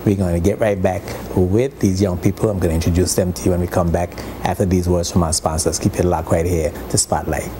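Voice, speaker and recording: A man hosting a TV interview show, relaxed and full of fillers. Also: low voice, a little fast, few pauses. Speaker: TV show host. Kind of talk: studio interview. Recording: noisy TV recording.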